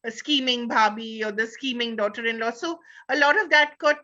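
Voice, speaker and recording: A woman with an Indian accent speaking as a panelist in an animated discussion, peppering her talk with "you know". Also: fairly high pitch, normal speed, dull audio.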